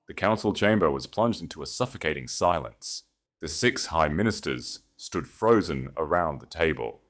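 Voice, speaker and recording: A man delivering dramatic, scripted narration of a story as its narrator. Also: sits low.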